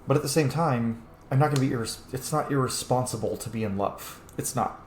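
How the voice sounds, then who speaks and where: A man's voice: mid-range voice, a little fast. Guy doing casual rpg commentary, swears a ton, blunt, echoey room w a bit of noise. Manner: blunt, heavily profane. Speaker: gamer playing and commenting on a role-playing game. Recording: roomy echo, faint background noise.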